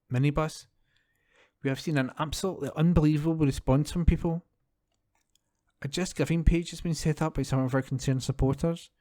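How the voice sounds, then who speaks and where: A man's voice: mid-range voice, warm tone, no room sound, studio quality. A man reading newspaper articles aloud as a volunteer reader, recorded at home. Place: at home, dry close sound.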